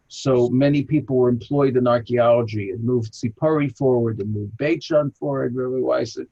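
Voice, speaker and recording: An American man from Chicago tells long, animated anecdotes as an interview guest, his pitch jumping up when he gets excited. His voice is husky and breathy, at a middle pitch. It comes through a dull, muffled, lo-fi line. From Chicago, USA. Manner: animated anecdotal storyteller, lively jumps in pitch. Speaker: rabbi and archaeologist guest. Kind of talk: storytelling interview answers. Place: home in an old city, remote call.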